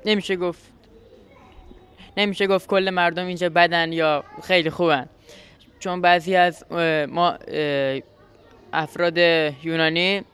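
A man's voice: on the high side, a bit bright, clear, resonant tone. A teenage boy of about fifteen giving spontaneous testimony as a young refugee, often restarting his words. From Afghanistan.

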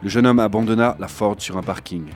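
A man's voice: fairly low pitch, steady tone, fairly quick, over music. A man reading a literary thriller as scripted narration. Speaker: audiobook narrator.

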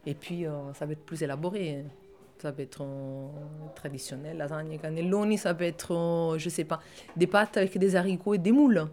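Give me a woman from Italy with a low voice, speaking haltingly with many fillers as a guest. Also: warm tone, slight background noise. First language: Italian. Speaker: guest, restaurant cook. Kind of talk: casual interview talk.

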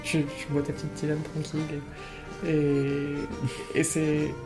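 A woman's voice: low-pitched, completely flat delivery, measured pace, rough recording. A young woman casually sharing her experience as a patient in conversation, with guitar music behind her. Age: young adult. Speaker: patient giving testimony.

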